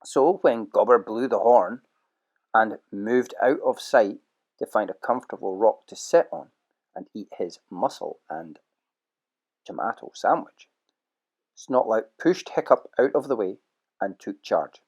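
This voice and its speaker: A man narrating a children's storybook audio play with lively, expressive storytelling. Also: lowish voice, a little slow.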